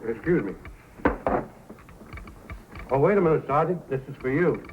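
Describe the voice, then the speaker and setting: An actor playing an affable, chatty small-town storekeeper with a folksy manner in old-time radio drama style. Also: male voice, fairly high pitch, expressive, moderate pace, natural voice. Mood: helpful. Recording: tinny, noisy old radio recording.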